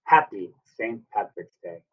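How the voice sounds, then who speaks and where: A man's voice: on the low side, expressive, unhurried. A man voicing a small, crafty, cheerful leprechaun in a storybook, teasing in singsong rhyme. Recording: distant, muffled webcam sound.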